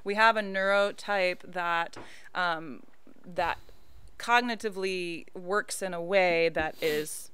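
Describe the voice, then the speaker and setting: A woman's voice: on the low side, slow, fairly bright tone, smooth. A young adult woman, a podcast guest in casual conversation, who often lilts into singing her words. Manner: often sings her words in a playful lilt.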